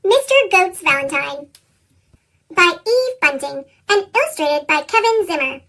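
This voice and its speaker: A woman narrates a children's story in an extremely high, chipmunk-pitched voice. The read-aloud is animated, with wide swings in intonation. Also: unhurried. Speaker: picture book reader.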